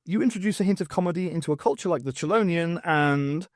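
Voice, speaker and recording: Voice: mid-range voice, fast, no echo, clean studio sound, natural voice. A narrator voicing an opinionated male literature professor with an emphatic, lecturing manner in an audiobook. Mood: emphatic, enthusiastic. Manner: emphatic, didactic.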